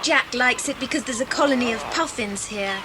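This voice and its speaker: A woman playing a sensible girl in a children's audio drama, brisk and practical. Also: on the high side, natural voice. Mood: alert, practical. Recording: noisy old cassette dramatisation.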